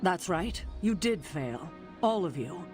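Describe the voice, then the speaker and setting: A man playing a stern, rallying leader of a superhero team in a video game, commanding and measured. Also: sits high, sing-song, over music, heavily acted. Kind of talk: video game cutscene acting.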